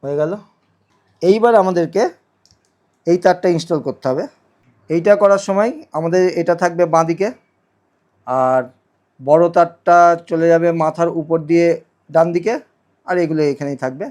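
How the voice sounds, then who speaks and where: Higher-pitched man giving hands-on tutorial. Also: moderate pace, long pauses, a little mellow. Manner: instructive, repeats phrases while demonstrating. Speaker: vlogger demonstrating product installation. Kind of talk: casual hands-on tutorial narration.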